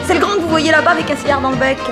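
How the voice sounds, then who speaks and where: Actress playing a high-voiced cheeky young dancer. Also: female voice, rapid-fire, over loud music, close to an everyday voice. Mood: breezy. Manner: breezy, slangy, gushing. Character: cheeky, starstruck young female revue dancer. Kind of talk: 1960s French radio drama acting.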